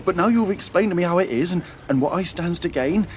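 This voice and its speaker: A man's voice: sits high, expressive, fast talker, slight background noise. An actor playing a nervous young pirate recruit in an audio adventure, earnest and eager, stammering as he goes. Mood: nervous, won over. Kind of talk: dramatised audio adventure acting.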